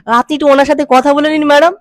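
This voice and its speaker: An over-polite production-house assistant acted by a man in a comic prank call. The voice is very high and dry, bumbling along with hesitant, rambling deference. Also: level tone, fast talker, no room sound. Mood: flustered, deferential. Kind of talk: prank phone call improvisation.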